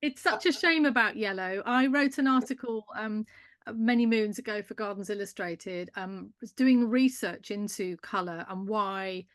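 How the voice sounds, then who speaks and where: A woman co-hosting a live garden chat on a video call, animated and quick to laugh. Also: medium pitch. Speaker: co-host. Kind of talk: casual chat on a live stream.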